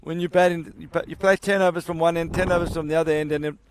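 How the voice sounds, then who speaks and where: A man's voice: fairly high pitch, steady tone, quick pace, noisy background. A man giving chatty, explanatory live commentary on an amateur cricket match. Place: outdoors at a cricket ground.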